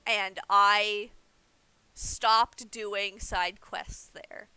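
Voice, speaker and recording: A woman's voice: medium pitch, steady tone, drawn-out words, pushed and bright, clean tone, no echo, poor audio. A young woman giving casual livestream game commentary, explaining things informally as she plays. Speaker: game streamer.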